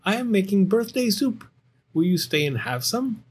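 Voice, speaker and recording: Man voicing an eager high-pitched little bear cub. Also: big pitch swings, moderate pace, husky, heavily acted. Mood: friendly, eager. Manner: childlike, earnest exclamations. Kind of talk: children's storybook character voice.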